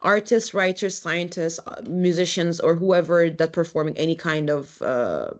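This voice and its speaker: Woman, low-pitched lecturer. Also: expressive, darkish tone. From Turkey. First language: Turkish. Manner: halting, frequent restarts and fillers, conversational, invites the audience in. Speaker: guest lecturer, architect. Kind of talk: university lecture.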